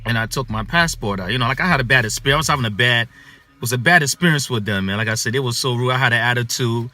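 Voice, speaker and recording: A man, born in Ghana and raised in the United States, telling a personal story in a casual, animated way full of "man" and "you know.". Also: medium pitch, rapid-fire.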